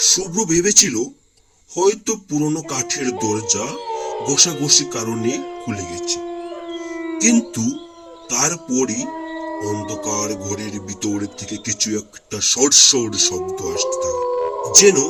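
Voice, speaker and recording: A man of medium pitch narrates a scripted horror tale. His delivery is theatrical and suspenseful, with extremely animated swings up and down. Loud music runs behind the voice. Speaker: story narrator.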